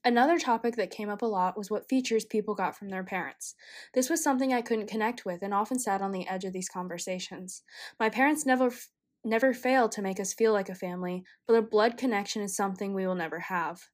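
A woman's voice: mid-range voice, fast talker. Young woman reading a reflective script. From China. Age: young adult. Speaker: college student. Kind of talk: scripted personal narration.

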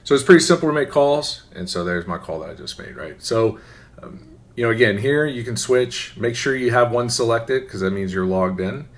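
A man giving an informal, conversational software tutorial, often checking in with a quick "right?". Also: medium pitch, animated. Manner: conversational, instructional, often tagging phrases with "right?". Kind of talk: informal screen-share walkthrough. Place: voice-over for a screen recording.

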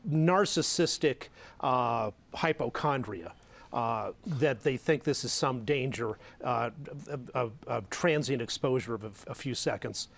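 A man's voice: mid-range voice, measured pace, vocal fry. A middle-aged man arguing bluntly and scornfully as a panelist on a televised political debate, recorded on a lapel mic in a studio. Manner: blunt and scornful, mocking opponents. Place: dark television studio set at a desk.

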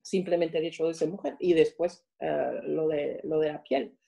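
A woman with a French accent answers interview questions in a mellow, slightly low voice. She speaks reflectively and haltingly, often stopping to hunt for the next word. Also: fairly even intonation, creaky. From France. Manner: halting, searching for words. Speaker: interviewed guest.

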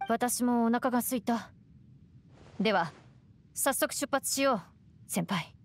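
Serious, higher-voiced young woman. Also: noisy background. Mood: composed. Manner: matter-of-fact, measured. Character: composed, serious young woman, sensible deputy of gang. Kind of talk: anime game voice acting. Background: music.

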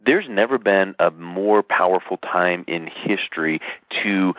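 A man's voice: low voice, unhurried, no echo. A male radio show host, upbeat and chatty, summing up his guest's advice. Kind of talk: interview host chat. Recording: dull lo-fi radio sound.

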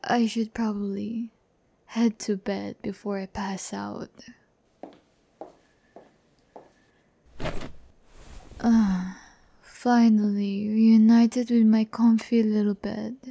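A woman playing a slow, haughty demon girl. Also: mid-range voice, little pitch movement, vocal fry, dark tone, slight background noise. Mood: self-admiring, sleepy. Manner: slow, condescending, teasing, often dropping to a whisper. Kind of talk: breathy ASMR audio roleplay. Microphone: close microphone, dry sound.

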